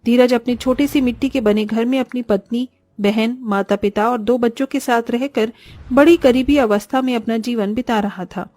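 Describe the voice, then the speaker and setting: Woman, storyteller, scripted children's narration, fairly high, close dry recording. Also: fairly even intonation, normal speed, mellow, no echo. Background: faint music.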